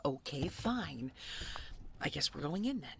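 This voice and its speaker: A woman playing a protective, devoted supernatural girlfriend in an intimate audio roleplay, breathy and halting, often near a whisper. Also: sits low, sing-song, a little hiss or hum, heavily acted. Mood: pleading, resigned.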